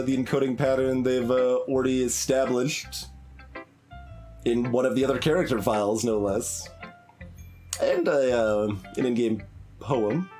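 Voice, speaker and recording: A man with an average-pitched voice talks through a game on a live stream. His delivery is casual and halting as he reasons things out aloud. Guitar-tinged music plays behind him. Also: darkish tone. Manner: hesitant, thinking aloud. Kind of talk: casual live playthrough commentary.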